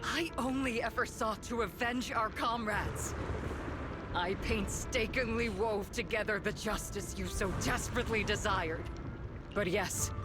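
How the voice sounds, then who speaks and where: A woman voicing a cold, embittered military colonel and secret cult leader in a video game, speaking in a theatrical, measured, menacing way. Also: mid-range voice, natural voice. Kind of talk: dramatic video game voice acting. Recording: loud music behind the voice. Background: dramatic game music.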